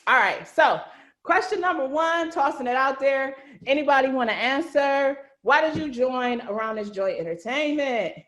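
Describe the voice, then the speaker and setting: Female host, fairly high voice, chatty and informal, roomy video-call sound. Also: expressive, normal speed, fairly bright tone. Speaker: host of cast meetup, writer-director. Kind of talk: casual hosting chat. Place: indoor room on a video call.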